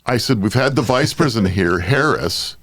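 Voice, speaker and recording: A man's voice: mid-range voice, animated, normal speed, a bit bright, dry recording. An American man hosting a casual podcast chat, bantering in an exasperated way. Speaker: podcast host.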